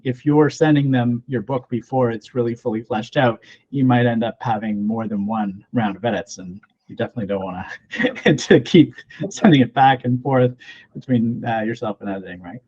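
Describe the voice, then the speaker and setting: A man's voice: medium pitch, normal speed, a little mellow. A man hosting a podcast, asking questions casually with lots of fillers. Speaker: podcast host. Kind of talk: podcast interview. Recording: slightly dull, lo-fi sound.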